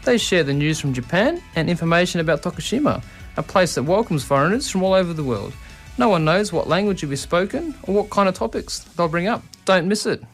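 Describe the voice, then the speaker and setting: Upbeat Australian radio host, lively voice. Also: male voice, sits high, faint music behind. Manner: upbeat, quick affirmations. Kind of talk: casual radio chat.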